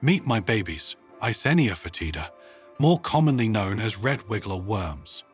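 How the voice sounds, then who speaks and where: A man voicing an enthusiastic, earnest woman scientist in a storybook, with a bright, eager, persuasive manner. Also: lowish voice, animated, normal speed, faint music behind, natural voice. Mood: cheerful enthusiasm. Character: enthusiastic, earnest female human scientist proudly presenting worms.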